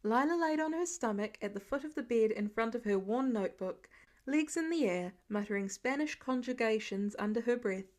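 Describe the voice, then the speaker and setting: A woman reading fiction aloud as an expressive, scripted story narration. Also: on the high side, normal speed. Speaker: audiobook-style fan fiction reader.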